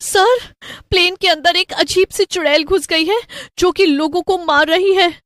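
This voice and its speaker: A woman's voice: very high-pitched, unhurried, a bit bright, no room sound, clean studio sound, pitched above the performer's own voice. A woman voicing a frightened air hostess in a cartoon, urgent and alarmed. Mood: terrified.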